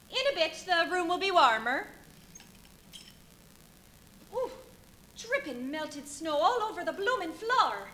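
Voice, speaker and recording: A woman's voice: very high voice, pushed and bright, slight background noise, heavily acted, poor audio. A woman playing a chatty, bossy village innkeeper's wife in a live radio play, fussy, gossipy and full of exclamations. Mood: eager, hospitable. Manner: gossipy, exclamatory, deferential to guests, scolding the maid.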